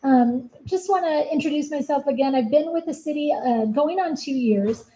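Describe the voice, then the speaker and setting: Soft-spoken bright woman presenter. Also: high-pitched, moderate pace, low-quality recording. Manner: soft-spoken, earnest, steady. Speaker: city homelessness program manager. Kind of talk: public presentation at a town hall. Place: community meeting room.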